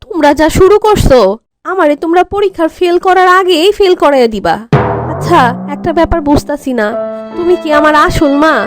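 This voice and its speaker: A woman's voice: extremely high pitch, fairly quick, no room sound. A woman voicing a snappy, stressed teenage girl in a cartoon comedy, with a Bangladeshi accent and whiny, exasperated delivery. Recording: music bed behind voice.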